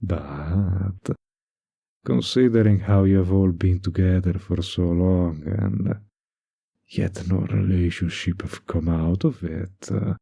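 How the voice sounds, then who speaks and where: A man playing a suave, manipulative villain in a flirty roleplay audio, with a breathy, gravelly voice and a slow, teasingly polite, condescending manner. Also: low-pitched, steady tone, dark tone, dry recording, heavily acted. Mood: sly, musing.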